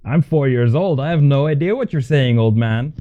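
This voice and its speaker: Slightly muffled, lo-fi audio of a man co-hosting a casual podcast. His mid-pitched voice is a bit scratchy and nasal, as with a cold coming on. He talks in an easy, bantering way. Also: expressive, normal speed. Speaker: podcast co-host.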